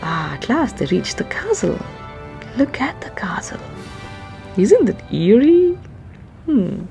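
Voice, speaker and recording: A woman's voice: a little high, sing-song, slow, gravelly, dark tone. A woman reading a children's story aloud in a dramatic, explanatory way, drawing out words and adding asides for young listeners. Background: music.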